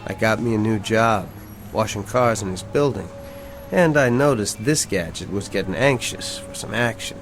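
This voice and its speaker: A man's voice: fairly low pitch, moderate pace, natural voice. An actor playing a brash, resentful working-class young man with slangy, streetwise speech in a radio drama. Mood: cocky. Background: music.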